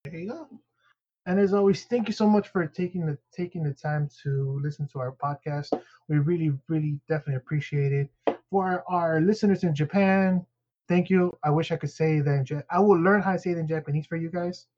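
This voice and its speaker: Rambling podcast co-host, slightly higher voice. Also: male voice, steady tone, fairly quick, a little mellow. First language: not English. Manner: rambling, many fillers and restarts. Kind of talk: casual podcast chat. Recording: dull lo-fi sound.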